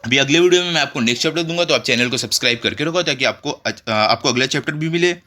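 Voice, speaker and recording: An Indian man teaches schoolchildren in a bright, forceful voice at an average male pitch. He explains step by step, reading and translating as he goes. He often asks rhetorical questions and adds short check phrases. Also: fast talker. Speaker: school tutor. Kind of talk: explanatory lesson for children, reading textbook and translating.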